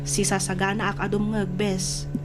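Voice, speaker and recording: A woman's voice: medium pitch, flat delivery, normal speed, music in the background, natural voice. A woman with an Ilocano Filipino accent acting a concerned, insistent best friend in an old-time radio drama, probing and emphatic. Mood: concerned, prodding.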